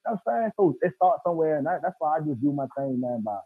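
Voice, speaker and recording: A man's voice: a little high, expressive, racing, breathy edge, no room sound. A man in casual chat, talking earnestly and emphatically, often saying bro. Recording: tinny phone-like sound, dry.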